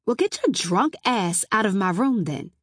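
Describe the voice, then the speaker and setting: A feisty young woman , as a narrator plays her, speaks up loudly and defiantly. She is fed up and sharp-tongued, in a dry, close audiobook recording. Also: mid-range voice, very animated, normal speed, husky, no room sound. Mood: angry, defiant. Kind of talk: audiobook character dialogue.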